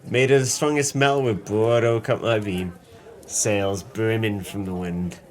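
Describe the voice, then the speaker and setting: Man playing tipsy former sailor, low voice, jovial, florid, boastful, radio play drama. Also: fairly even intonation, measured pace, lightly acted. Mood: merry, grandiose. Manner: flowery, hearty, boastful turns of phrase. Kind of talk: audio play acting.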